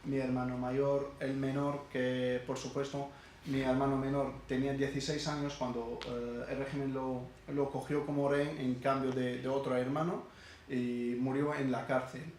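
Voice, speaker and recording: A middle-aged man from Syria gives a halting personal testimony, full of pauses and restarts. His voice sits at an ordinary pitch and carries a heavy echo from a lecture hall, with faint noise underneath. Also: level tone, a little mellow. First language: Arabic. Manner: halting, many hesitations and restarts. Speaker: speaker at a university event.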